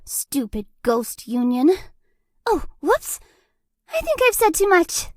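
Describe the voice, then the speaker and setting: A woman's voice: high voice, big pitch swings, slow, darkish tone, no echo, clean studio sound, exaggerated voice. A woman voicing a sweet, awkward young female ghost for a video game, hesitant, gushing and apologetic. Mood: awkward, cheerful. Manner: hesitant, bubbly, gushing, self-deprecating. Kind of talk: cute video game character acting.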